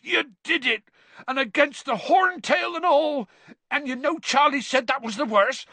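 A man voicing a huge, kindly male gamekeeper who speaks hoarsely. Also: very high voice, unhurried, pushed and bright, dry recording, pitched above the performer's own voice, full character voice. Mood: moved.

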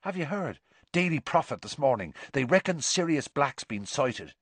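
A man voicing an eager, gossipy schoolboy in a storybook, with an excited, hurried delivery. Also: on the high side, no echo, pitched above the performer's own voice.

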